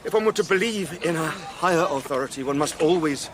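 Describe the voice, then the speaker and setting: Anxious polite gentleman, played by a man, highish voice. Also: fairly quick, a crowd in the background, natural voice. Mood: hesitant. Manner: stammering, educated formal phrasing. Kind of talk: radio drama acting. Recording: music behind the voice.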